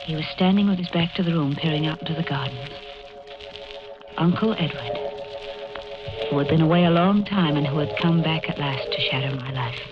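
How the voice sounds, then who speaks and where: An actress playing a genteel, coolly murderous wife in a 1940s radio horror play, speaking with measured, wistful deliberation on a muffled old recording with music behind. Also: female voice, low-pitched, natural voice. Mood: eerily calm. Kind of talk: 1940s radio acting, first-person horror narration.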